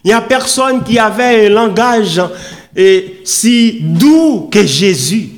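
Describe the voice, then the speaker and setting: Guy preaching a sermon, high voice that swings up and down a lot, emphatic with big pauses. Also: expressive. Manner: oratorical, emphatic, with deliberate pauses and repetition. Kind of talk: sermon with scripture readings and rhetorical questions.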